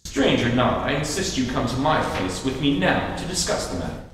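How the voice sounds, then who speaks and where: A man's voice: medium pitch, animated, fairly quick, a bit bright, lightly acted. A man acting as a stern, sinister, insistent villain in an old-time radio play. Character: sinister, insistent male villain Mister Jones. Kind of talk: 1940s radio acting re-enacted on stage. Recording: distant, very echoey, faintly noisy hall.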